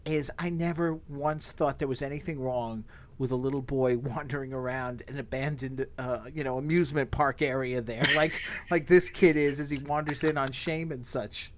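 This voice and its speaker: A man with a medium-pitched voice hosts a relaxed, informal review show. He recaps things conversationally, in an easygoing manner. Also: normal speed, slight background noise. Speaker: podcast host. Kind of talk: casual review chat.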